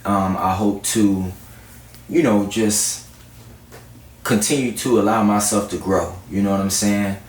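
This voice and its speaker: Low-voiced man, echoey distant recording. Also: measured pace, long pauses. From South Florida. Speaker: guest R&B singer-songwriter. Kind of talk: casual interview chat. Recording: roomy echo, distant mic, faint noise.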